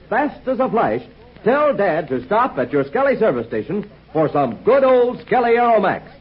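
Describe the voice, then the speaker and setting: A man's voice: sits high, slightly rough. A male announcer giving upbeat 1940s radio ad copy and story recaps. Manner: upbeat, salesy. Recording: dull 1940s radio transcription.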